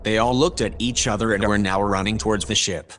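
An actor playing a composed analyst who reports events in a measured, formal way in a sci-fi audio drama. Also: male voice, on the low side, fairly even intonation, a bit bright, natural voice. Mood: measured, grave. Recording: clear background noise and ambience.

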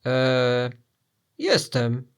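A man's voice: mid-range voice, very animated, drawn-out words, smooth, exaggerated voice. A man voicing a nervous storybook character who bluffs hesitantly with false carefree confidence. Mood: nervous bluffing.

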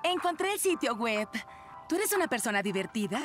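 Perky, lively cartoon lady. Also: a little high, brisk, over music. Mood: eager, dismayed. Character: eager, corny grown woman trying hard to be funny. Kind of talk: dubbed cartoon acting.

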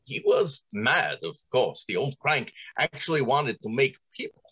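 A man's voice: mid-range voice, big pitch swings, a little slow, bright, forceful tone, husky, heavily acted. A middle-aged man playing a pompous, courteous factory general manager in old-time radio drama style, theatrical and businesslike. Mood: enthusiastic storytelling. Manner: theatrical, businesslike, hesitating with ums.

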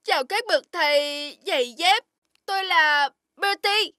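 Very high-pitched tiny childlike innocent. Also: female voice, unhurried, pushed and bright, no room sound, clean studio sound, full character voice. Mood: polite, curious. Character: small naive tiny-folk newcomer, polite and wistful. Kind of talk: children's animated fairy tale acting. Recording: music under the voice. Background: music.

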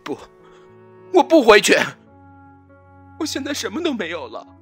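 A man's voice: high voice, huge pitch swings, normal speed, breathy edge, soft background music, full character voice. A man playing a young rejected ex-lover in a radio drama, imploring, emotional and insistent. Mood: desperate, pleading. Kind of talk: web radio drama acting.

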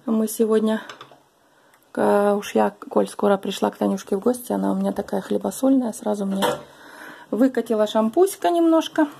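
A woman's voice: medium pitch, moderate pace, gravelly, warm tone, a little hiss or hum. A middle-aged woman hosting a casual, chatty interview at a friend's home, with an affectionate way of talking, recorded on a camera across the table. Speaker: vlogger host. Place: small living room at home.

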